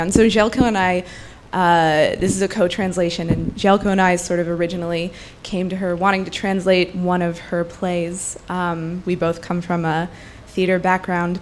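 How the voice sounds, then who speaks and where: A woman translator talking informally at a live literary event, hesitant and thinking aloud as she introduces a reading. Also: fairly low pitch. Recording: live event with clear background noise.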